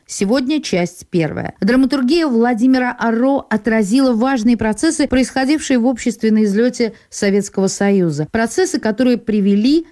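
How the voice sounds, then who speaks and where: Female radio host, scripted intro read, slightly high pitch, very mellow timbre.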